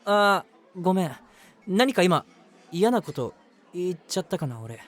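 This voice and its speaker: A man acting a lively, high-voiced lovestruck young guy. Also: measured pace. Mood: worried, apologetic. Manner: chatty, self-deprecating, earnest, hesitant asides. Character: eager, lovestruck, easily flustered young man, eldest brother. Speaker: voice actor. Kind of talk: Japanese romantic audio drama monologue.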